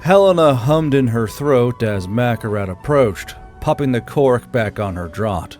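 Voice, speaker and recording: A man's voice: mid-range voice, lively intonation, unhurried, a little mellow, soft background music. A man narrating a scripted fantasy story.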